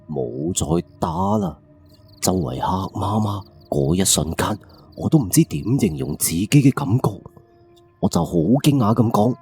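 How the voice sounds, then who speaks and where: Male Cantonese ghost-story narrator, low and mellow, colloquial and suspenseful, soft music underneath. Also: breathy edge. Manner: colloquial, suspenseful, with rhetorical questions. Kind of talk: dramatic first-person ghost storytelling.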